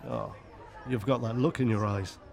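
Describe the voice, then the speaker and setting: An actor playing an easygoing, level-headed, wry friend in a radio soap. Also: male voice, mid-range voice, steady tone, normal speed, creaky, a faint crowd, natural voice. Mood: wry, reassuring. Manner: reasoning, wry.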